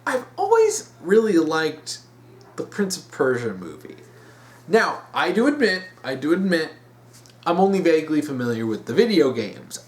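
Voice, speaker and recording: A man giving emphatic opinion commentary as a video host, repeating words for stress. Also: a little high.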